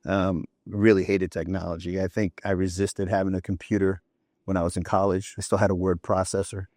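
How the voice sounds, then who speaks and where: Low-voiced man in his fifties, executive guest, chatty storyteller, dry close sound. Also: little pitch movement, a little fast, darkish tone, no room sound. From New York City. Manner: chatty anecdotes with frequent "you know" and "right?". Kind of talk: relaxed storytelling interview talk.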